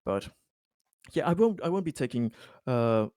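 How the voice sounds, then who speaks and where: A courteous colony councilman, played by a man in a tabletop roleplay, eager to please. He talks haltingly and politely in a mid-pitched voice, rambling and repeating words, recorded close and dry. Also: animated, darkish tone, clear, resonant tone, no room sound, close to an everyday voice. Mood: friendly, encouraging. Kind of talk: improvised in-character tabletop dialogue.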